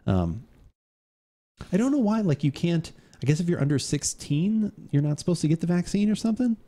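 Brief: man in his 40s, podcast host, mellow and rambling, studio boom mic. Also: sing-song, a little fast. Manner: rambling, jokey, lots of fillers. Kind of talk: casual live-stream chat. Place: home studio. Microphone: studio mic on boom arm.

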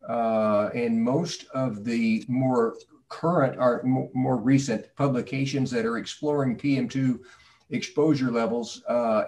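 A man answering technical questions as an expert on a video call, speaking in a halting, careful way. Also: medium pitch, darkish tone. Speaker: expert panelist with a doctorate. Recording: distant mic on video call.

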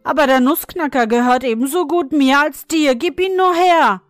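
A woman voicing a rough, scornful young boy who demands his toy back. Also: fairly high pitch, moderate pace, dry recording, faint music behind, lightly acted.

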